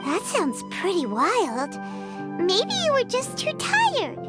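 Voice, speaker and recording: A woman voicing a tiny, excitable female fairy companion in a video game, with a squeaky childlike voice. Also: takes it slowly, loud music behind the voice, full character voice. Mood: concerned. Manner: speaks of herself in third person, exclamatory. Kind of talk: video game character dialogue.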